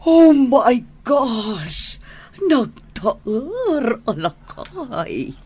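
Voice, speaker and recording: A husky older matron in a radio drama, haughty and Ilocano-accented. Her pitch swings hugely and she draws her theatrical exclamations out very slowly. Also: very animated, darkish tone, full character voice. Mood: surprised, teasing. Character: haughty older woman, a mother, teasing and theatrical.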